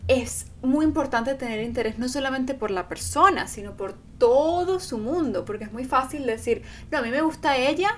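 A woman's voice: high-pitched, moderate pace, hardly any pauses, slight background noise. A woman co-hosting a casual couple podcast, talking earnestly and acting out the things people say. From Venezuela. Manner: earnest, animated, acts out quoted speech. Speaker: podcast co-host.

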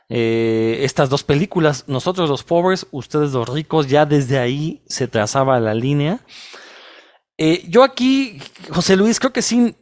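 A man's voice: fairly high pitch, no echo. Mexico City man giving opinionated commentary, dry sound. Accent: Mexico City chilango. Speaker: podcast co-host and film commentator. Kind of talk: opinionated film discussion.

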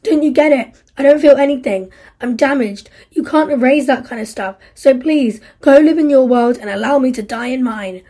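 Woman reading a grieving, furious young man; husky, mellow tone. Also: on the high side, steady tone, moderate pace. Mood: bitter, angry. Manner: anguished, bitter, raised voice. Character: grief-stricken angry young man, survivor.

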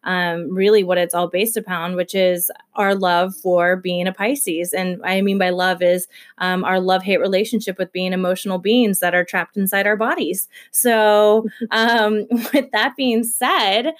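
A woman in her thirties from Kentucky and Detroit, co-hosting a casual podcast in a fairly even, chatty way with many fillers. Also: mid-range voice, a little slow, few pauses. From Kentucky, raised also in Detroit. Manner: self-described monotone, chatty with frequent fillers and laughs. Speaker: podcast co-host.

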